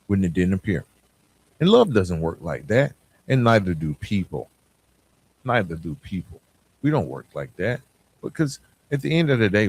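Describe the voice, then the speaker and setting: An American man hosting a podcast, giving a reflective motivational monologue in an earnest, emphatic way with deliberate pauses. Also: low-pitched. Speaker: podcast host.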